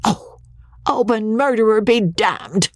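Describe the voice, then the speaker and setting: A woman voicing an enraged middle-aged man in a squeaky falsetto. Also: animated, slow pace, no echo, clean studio sound. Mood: furious.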